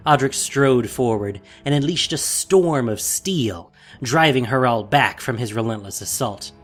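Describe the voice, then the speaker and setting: A man's voice: mid-range voice, lively intonation, a little slow, faint music behind. A man narrating a scripted fantasy story in a dramatic, solemn way.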